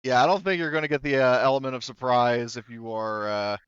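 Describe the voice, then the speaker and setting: Clear-voiced man running a tabletop game. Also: mid-range voice, fairly even intonation, no room sound, muffled recording. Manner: halting, casually profane. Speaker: game master. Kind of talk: running a tabletop roleplaying game, casual improvised narration.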